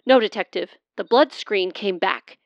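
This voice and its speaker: A woman's voice: mid-range voice, a little slow, dry recording. A woman playing an earnest, awkward, approval-hungry crime scene technician in a comic radio play, heard through a phone filter. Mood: earnest, urgent. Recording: muffled band-limited phone call.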